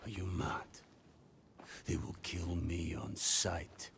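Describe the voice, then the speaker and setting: Gravelly, very deep-voiced Northman outcast. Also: fairly even intonation, slow, a bit bright, slight background noise, heavily acted. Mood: wary, indignant. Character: gruff, wary, combative Northman outcast. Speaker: game character actor. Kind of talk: cinematic video game acting.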